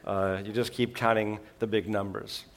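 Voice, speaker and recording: A man's voice: fairly low pitch, level tone, normal speed. A middle-aged man from Switzerland, preaching a sermon in a folksy, joking way with many filler sounds. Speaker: guest preacher.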